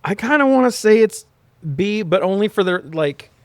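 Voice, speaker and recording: A man's voice: high-pitched, expressive, normal speed, smooth, no room sound. A man talking casually and informally as a podcast co-host, rambling through his opinions and swearing now and then. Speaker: podcast co-host reviewing movies. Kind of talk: casual unscripted discussion.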